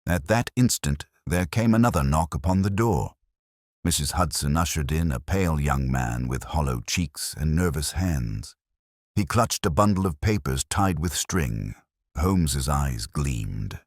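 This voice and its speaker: A man's voice: very deep voice, animated, a little slow, no echo, clean studio sound. A man narrating a dramatic detective story as a scripted audiobook reading.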